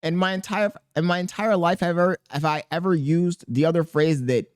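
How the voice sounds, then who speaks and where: A man with a squeaky voice talking casually and profanely to camera as a content creator, rambling and animated. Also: a little fast, clean tone, no echo, studio quality. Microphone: podcast dynamic mic.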